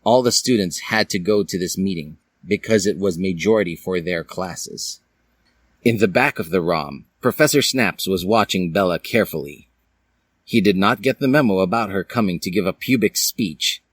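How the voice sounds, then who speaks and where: A man's voice: low-pitched, moderate pace, dry recording. A man reading fan fiction aloud as a dramatic, comic storyteller with a theatrical manner. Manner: theatrical, puts on character voices.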